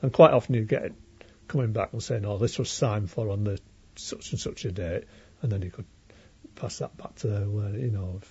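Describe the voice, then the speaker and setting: Elderly Yorkshire man, husky and dark-toned. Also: on the low side, normal speed. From Leeds, England. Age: elderly, around 80s. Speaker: interviewee, retired railway worker. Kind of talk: unscripted oral history reminiscence. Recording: dull lo-fi interview recording.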